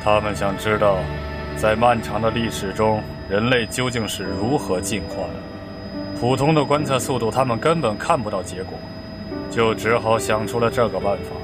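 A man's voice: fairly low pitch, normal speed, close to an everyday voice. A man playing a cool, polite, matter-of-fact agent in a sci-fi radio drama. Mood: cool, explanatory. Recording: loud music bed under the voice.

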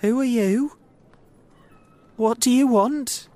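A man voicing a weak, nervous, excitable little man in an acted storybook, sounding timid and agitated. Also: sits high, slow, no room sound, pitched above the performer's own voice.

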